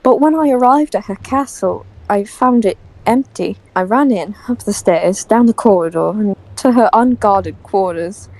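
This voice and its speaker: A woman's voice: mid-range voice, moderate pace, hardly any pauses, a little mellow, close to an everyday voice, low-quality recording. A woman voicing a proud, easily exasperated young aristocratic boy in an audio drama, with a dry, formal, indignant way of talking. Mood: earnest recounting.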